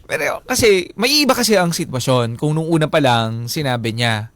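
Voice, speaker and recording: Male radio co-host. Sharp bright tone, raised pitch, campy and playful casual banter. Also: animated, moderate pace. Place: radio studio.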